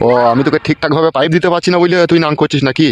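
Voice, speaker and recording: A man's voice: on the high side, steady tone, rapid-fire, over music, natural voice. A man voicing a jealous, bullying older village husband in a comedy cartoon, cursing and interrogating harshly. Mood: furious.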